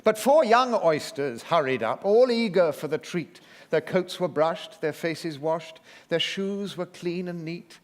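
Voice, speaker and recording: A man narrating verse as an actor in an expressive storytelling recital on a theatre stage. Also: fairly high pitch, unhurried, few pauses. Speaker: actor reading poetry as narrator. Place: theatre stage before an audience. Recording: reverberant theatre hall.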